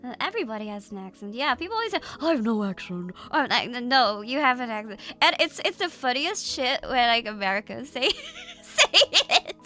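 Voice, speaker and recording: Bright high-voiced woman streamer. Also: big pitch swings, normal speed, soft background music. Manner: chatty, laughs often, swears casually. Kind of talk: casual livestream chat with viewers.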